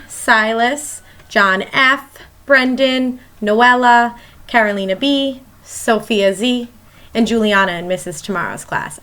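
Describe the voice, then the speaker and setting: Female elementary teacher, higher-pitched voice, read-aloud for young kids, explains simply and addresses listeners directly. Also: fairly bright tone. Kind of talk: read-aloud of a children's nonfiction book.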